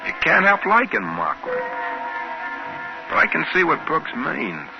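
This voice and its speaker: A man's voice: on the high side, huge pitch swings, a little slow, gravelly, heavily acted. Folksy 1950s radio-drama everyman. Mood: wry storytelling. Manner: folksy, colloquial. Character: plain, folksy male trading-post worker on an alien planet. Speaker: narrator and character. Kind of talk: 1950s radio drama acting and first-person narration. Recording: muffled old radio broadcast with music.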